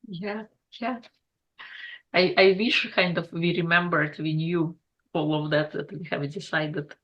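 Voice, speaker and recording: A woman hosting a podcast interview, asking questions and giving soft affirming replies. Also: on the low side, steady tone, a little slow, lo-fi sound. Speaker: podcast host.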